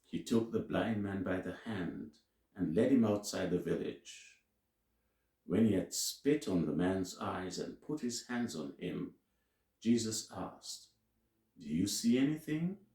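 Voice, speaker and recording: A man reading scripture aloud as a teacher, in a solemn, deliberate and emphatic way with dramatic pauses. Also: low-pitched, lively intonation, warm tone. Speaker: Bible reader and teacher. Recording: roomy, echoing sound.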